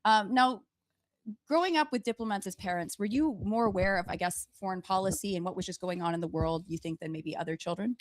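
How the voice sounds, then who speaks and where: A woman in her forties hosts a relaxed livestream interview. She is playful and likes to tease, in an everyday conversational register. Her voice sits at a medium pitch and sounds dry, with almost no room on it. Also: a little fast, dry recording. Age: 40s. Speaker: interview show host. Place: indoors on a couch.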